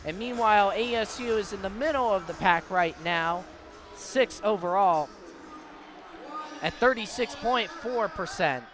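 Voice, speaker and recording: A man's voice: high-pitched, unhurried, over music. An American man doing live radio play-by-play commentary of a basketball game. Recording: live broadcast with clear background noise. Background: basketball game ambience, bouncing ball.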